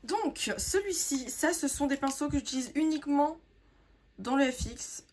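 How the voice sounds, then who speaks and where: A young woman with a sore throat and a hoarse voice, chatting casually to viewers as she sorts her makeup, rambling with plenty of fillers. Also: sits high, expressive, moderate pace, darkish tone, slight background noise. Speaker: makeup artist vlogger. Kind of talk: casual sorting vlog, talking to viewers.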